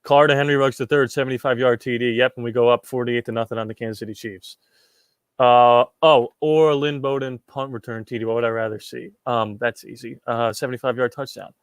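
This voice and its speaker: A man's voice: mid-range voice, steady tone, a little fast, smooth, dry recording. A young man from Puerto Rico hosting a casual livestream, reading chat questions and answering them in a rambling way, with repeated words and many ums.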